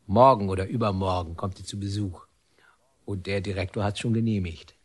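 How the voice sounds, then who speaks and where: A prison warder in a radio drama, gruff but kind. He talks in a rather low voice, with a familiar, slightly hesitant, everyday manner. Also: steady tone, a little fast. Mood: chatty, kindly. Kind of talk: German radio drama acting.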